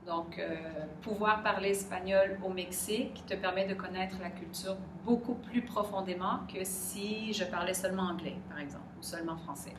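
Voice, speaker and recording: A middle-aged woman with a Québécois French accent answers questions in a measured, reflective way, often pausing to search for the right word. She sits some distance from the mic in a roomy, echoing space. Clear background noise runs under her voice throughout. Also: mid-range voice. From Quebec. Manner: thoughtful, pauses to search for words.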